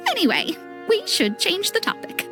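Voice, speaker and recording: A high, animated young woman's voice for a haughty video game astrologer. The delivery is theatrical and wry, easily exasperated. Clear music plays underneath. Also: moderate pace, heavily acted. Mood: indignant. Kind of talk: video game cutscene acting.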